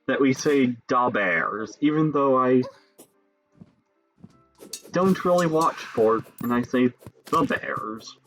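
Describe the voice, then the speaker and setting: Unhurried young man from Chicago musing. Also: mid-range voice, lively intonation, fairly bright tone, faint music behind, poor audio. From Chicago, Illinois. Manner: musing, chats with viewers between pauses. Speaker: streamer. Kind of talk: casual live-stream chat while gaming.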